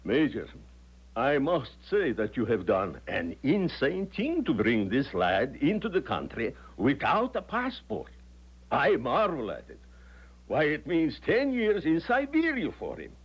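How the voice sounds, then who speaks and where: An actor playing an imperious prince and secret police chief in a 1970s radio drama, husky, grand and formal. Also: male voice, a little high, wildly swooping pitch, a little slow, heavily acted. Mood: astonished, stern. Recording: dull 1970s radio recording.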